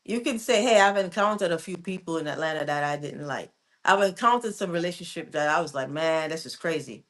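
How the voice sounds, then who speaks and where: A woman's voice: sits low, animated, brisk. A woman born in Jamaica who grew up in New York, co-hosting a casual morning talk show and making her points emphatically.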